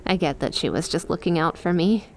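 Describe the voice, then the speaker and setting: A woman's voice: on the low side, fairly quick, a little hiss or hum, lightly acted. A woman playing an affectionate young snake-girl best friend in an ASMR roleplay, teasing and chummy as she talks to the listener. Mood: sincere, chatty.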